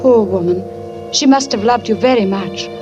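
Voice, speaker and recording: An actress playing a sympathetic, gently flattering woman, soothing and coaxing in 1940s radio suspense style. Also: mid-range voice, normal speed, close to an everyday voice. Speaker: radio drama actor. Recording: muffled old radio recording with music.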